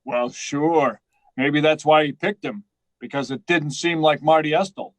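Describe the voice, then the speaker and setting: A brash, cocky chauffeur from an old-time radio play , played by a man. His voice sits a little high and is closely recorded with a dry sound, and he talks in blunt slang. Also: measured pace, no echo, lightly acted, rough recording. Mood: easygoing. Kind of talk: 1940s radio acting.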